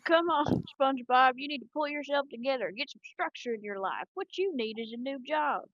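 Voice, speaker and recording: Woman playing a female cartoon squirrel, Texan accent, high voice, concerned scolding, emphatic, slightly muffled recording. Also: expressive, brisk, fairly bright tone, dry recording.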